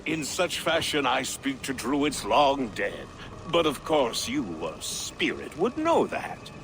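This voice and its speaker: An actor playing a reverent, eccentric druid in a video game, speaking in a ceremonious, archaic, questioning way. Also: male voice, mid-range voice, sing-song, slow, gravelly, fairly bright tone, slight background noise, exaggerated voice. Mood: solemn, invoking. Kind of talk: theatrical game voice acting.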